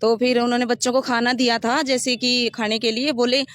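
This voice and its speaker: A woman's voice: a little high, little pitch movement, brisk, a bit bright, no echo, clean studio sound. A woman giving a detailed, step-by-step personal account in an interview. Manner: rambling, detailed step-by-step recounting. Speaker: interviewee recounting her complaint.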